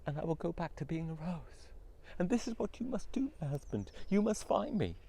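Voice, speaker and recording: A storyteller voicing a wife enchanted into a rose, speaking urgently and pleading. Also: male voice, a little high, very animated, moderate pace, gravelly, husky, full character voice. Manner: urgent, hushed.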